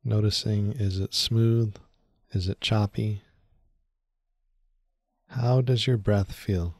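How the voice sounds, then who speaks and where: A man leading a guided meditation in a soothing, unhurried way, speaking in short phrases with long pauses. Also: on the low side, fairly even intonation, darkish tone, no room sound, studio quality.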